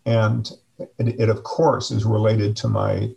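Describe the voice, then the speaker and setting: A middle-aged man with a deep, heavily gravelly voice talks in slow, measured phrases. He pauses often with fillers as he reflects, heard through a video call microphone. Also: flat delivery, mellow, low-quality recording. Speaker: interview guest, district superintendent. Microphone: video call webcam.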